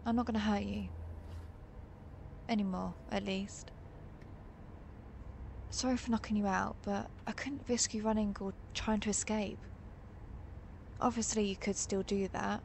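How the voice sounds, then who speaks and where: Casting: actress for protective female vampire hunter, herself a vampire; intimate roleplay audio, confiding and measured, mid-pitched with creaky edges. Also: steady tone, a little mellow, a little hiss or hum. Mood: soothing, protective. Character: protective, guilt-ridden female vampire who hunts vampires. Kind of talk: intimate scripted audio roleplay addressed to the listener.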